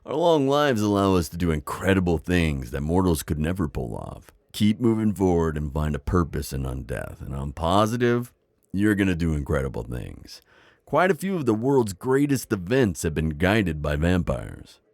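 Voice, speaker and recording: Male actor as wise old-world vampire innkeeper, low deep voice, lively intonation, folksy mentor, intimate ASMR roleplay. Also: normal speed, dry recording, clean studio sound. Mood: encouraging. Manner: folksy, chatty, hesitant asides. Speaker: audio roleplay performer.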